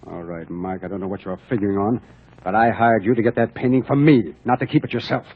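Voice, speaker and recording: A man's voice: fairly low pitch, fast talker. A man playing a smug, menacing gangster boss in an old radio play, courteous one moment and threatening the next. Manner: smooth courtesy turning to hard threats. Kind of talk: 1940s radio acting. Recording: tinny, muffled old radio recording.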